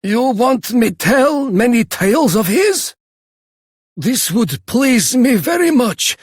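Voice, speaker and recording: Game elder: courteous, nostalgic grandfather, husky, animated intonation, broken English. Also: extremely high pitch, slow pace, no room sound, studio quality, heavily acted. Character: kindly old village grandfather, nostalgic and courteous.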